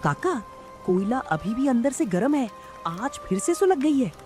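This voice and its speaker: A woman voicing an eager, obedient young village man, polite and earnest, in an animated story. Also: on the high side, unhurried, faint music behind. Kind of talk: Hindi animated story dialogue.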